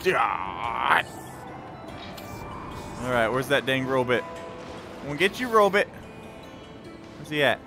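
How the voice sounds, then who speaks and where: Male gamer, exclamatory live commentary, very lively pitch swings, unhurried overall pace. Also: vocal fry. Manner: exclamatory, short self-directed bursts. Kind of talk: excited live gameplay commentary. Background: game music.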